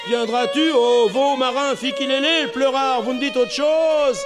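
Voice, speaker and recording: Blustering, cursing monk played by a man, high voice. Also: fairly even intonation, measured pace, in a large hall, over music. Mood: mocking scorn. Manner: ranting, swearing, barking orders. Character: blustering foul-mouthed hard-drinking monk sailor, bullying and cursing. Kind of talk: theatrical literary storytelling.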